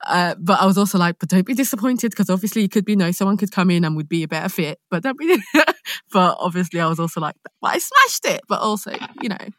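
A woman's voice: mid-range voice, lively intonation, fast, a bit bright, dry recording, clean studio sound. A young woman with a London accent chatting casually and expressively, telling stories in a candid, slangy way. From London, England. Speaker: podcast guest, actor. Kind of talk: casual chat, animated storytelling.

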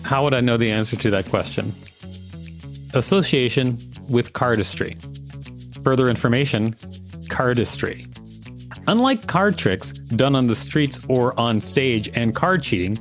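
A man co-hosting a podcast, reading an encyclopedia article aloud and chatting casually. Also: mid-range voice. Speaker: podcast co-host.

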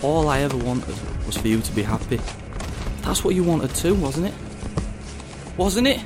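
An actor playing a controlling male villain in an audio drama, falsely tender, condescending and quietly threatening. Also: fairly high pitch, big pitch swings, moderate pace, over music. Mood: bitter, mock-tender.

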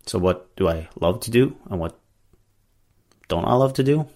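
A man's voice: lowish voice, expressive, normal speed, warm tone. A man hosting a podcast in English, which is not his first language, talking casually in a rambling way with many fillers and restarts. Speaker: podcast host. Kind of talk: casual solo monologue.

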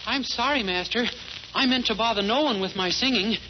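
A man's voice: very high-pitched, a little fast, slight background noise. A man voicing an earnest, timid poor boy. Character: poor, hopeful young boy singer. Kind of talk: 1940s radio acting. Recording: dull 1940s radio broadcast.

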